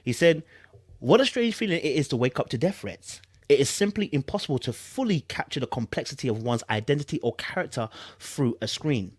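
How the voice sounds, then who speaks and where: A man's voice: medium pitch, lively intonation, brisk, husky, no echo. A man with a London accent hosting casual online commentary, reading aloud and reacting in informal, slangy speech with emphatic repetition. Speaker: YouTube commentary host.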